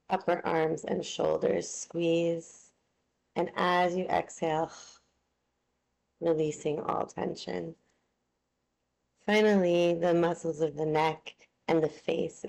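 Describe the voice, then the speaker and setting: Casting: female meditation teacher, soothing and gentle, very slow delivery with gravelly vocal fry. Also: low voice, poor audio. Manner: soothing, unhurried, gently instructing. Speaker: yoga nidra meditation teacher. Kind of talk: guided relaxation meditation.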